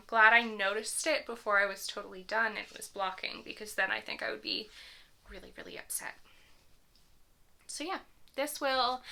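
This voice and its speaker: A woman's voice: a little high, bright, forceful tone. A young woman hosting a knitting podcast in a casual, rambling chat with small laughs, her voice a little strained after a concert. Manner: chatty, rambling, with little laughs and self-corrections.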